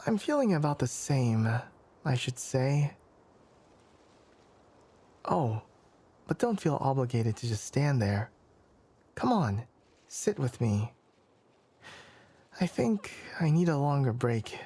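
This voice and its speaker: Man acting a young forest ranger, breathy soft voice, unhurried pace, polite, bookish, measured, ASMR roleplay. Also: medium pitch, very animated, exaggerated voice. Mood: polite, welcoming. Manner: measured, hesitant, courteous, bookish phrasing. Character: young male forest ranger with keen animal ears, scholarly, polite.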